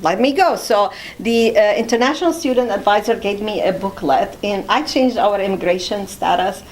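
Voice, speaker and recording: A woman's voice: mid-range voice, moderate pace. Middle aged lady chatting in an interview, bit of noise behind her. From Jordan. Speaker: interview guest, immigration attorney. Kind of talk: conversational interview, telling life story.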